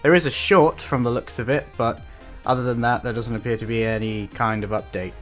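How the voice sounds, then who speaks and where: A man's voice: mid-range voice, steady tone, brisk, a bit bright, faint music behind. A man hosting a reaction video with casual commentary.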